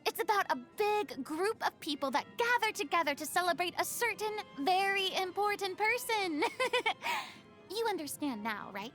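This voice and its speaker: A woman's voice: very high-pitched, lively intonation, normal speed, a bit bright, faint music behind. A woman voicing a proud, dramatic young stage idol with a secretly wistful side in a video game, speaking in a refined, theatrical way. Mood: bright, flustered. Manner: theatrical, refined, haughty diction with dramatic swings. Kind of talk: video game character voice lines.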